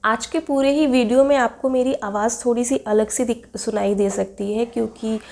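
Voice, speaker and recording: A young woman with a cold, her throat hoarse, chattily explaining a craft project step by step in a voice-over. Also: fairly high pitch, steady tone, normal speed, darkish tone. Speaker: craft video maker.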